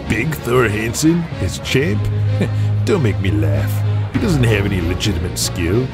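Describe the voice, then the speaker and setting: A man's voice: lowish voice, big pitch swings, measured pace. A man voicing a proud, hot-tempered older wrestling boss in an audio drama, blustering and condescending. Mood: dismissive. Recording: loud music behind the voice.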